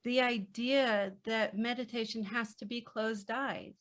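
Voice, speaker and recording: A woman speaking as a podcast guest in a reflective conversation, gentle, unhurried and reassuring. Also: a little high, steady tone. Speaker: podcast guest, children's book author.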